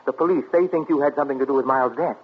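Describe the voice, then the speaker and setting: An actor playing an anxious, bewildered young husband in 1940s radio acting, stammering and emotional. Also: male voice, medium pitch, racing, breathy edge, phone-quality sound, close to an everyday voice. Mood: distraught. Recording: scratchy 1940s radio broadcast.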